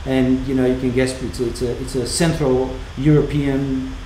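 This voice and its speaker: A man in his fifties with a heavy former Yugoslav accent, telling animated stories in a casual chat as a watchmaker and co-host. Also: mid-range voice, normal speed. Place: indoor watchmaking workshop. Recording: roomy workshop with faint hum.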